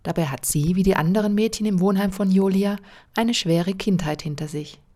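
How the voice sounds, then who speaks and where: A woman narrating a documentary report in an even, measured read. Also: mid-range voice. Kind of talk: scripted narration. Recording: faint music underneath.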